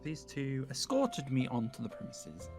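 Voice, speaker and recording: A man's voice: mid-range voice, big pitch swings, measured pace. A man playing a quirky, blunt survivor visitor who pries innocently, in an improvised video game roleplay. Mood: matter-of-fact. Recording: clear music behind the voice.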